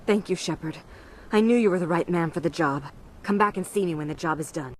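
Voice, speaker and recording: A woman playing a poised, secretive diplomat in a science fiction video game, speaking in a formal, measured manner. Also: on the low side, heavy background noise, natural voice. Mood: businesslike, commanding. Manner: formal, measured, dramatic sighs. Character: poised, secretive female alien diplomat, anxious yet commanding.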